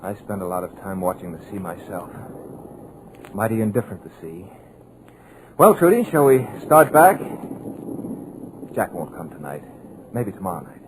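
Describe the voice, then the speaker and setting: An actor playing an uneasy, wry scriptwriter in a 1940s radio drama, stammering and musing aloud. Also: male voice, deep voice, sing-song, moderate pace, pauses often. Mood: gently consoling. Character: uneasy, wry male scriptwriter, talkative and hesitant. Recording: noisy old radio recording.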